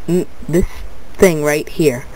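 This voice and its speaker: A man's voice: on the high side, slow pace, background noise. A young man with a stuffed-up nose, casually talking viewers through a screen-recorded tutorial, rambling and restarting his words. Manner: rambling, repeats "but anyway", restarts words. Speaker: tutorial video maker.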